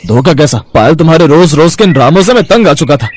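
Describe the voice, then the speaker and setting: A male actor plays a young husband in a family morality tale. His voice sits a little high, and he is irritable, cold and fed up. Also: expressive, fast, a little hiss or hum, close to an everyday voice. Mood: irritated. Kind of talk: dramatized Hindi moral story.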